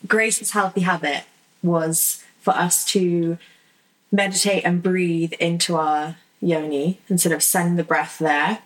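A woman's voice: lowish voice, steady tone, slow, low-quality recording. A woman co-hosting a podcast, asking questions and chiming in with brief agreeing replies. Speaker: podcast co-host. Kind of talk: podcast interview.

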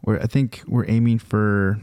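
A man's voice: fairly low pitch, little pitch movement, unhurried, darkish tone, clean tone, no echo, studio quality. A young man chatting casually and informally as a podcast co-host, using plenty of slang. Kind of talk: casual chat.

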